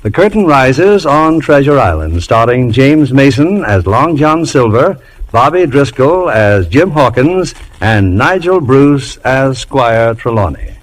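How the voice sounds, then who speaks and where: A male radio host with a medium-pitched voice. He presents formally and smoothly from a script, in the polished manner of 1950s announcers. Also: big pitch swings, slow, rough recording.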